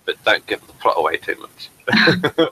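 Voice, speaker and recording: A man's voice: fairly low pitch, big pitch swings, unhurried, sharp tone, slight background noise, poor audio. A man hosting a casual interview, rambling and chatty and addressing viewers as folks. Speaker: host and playwright.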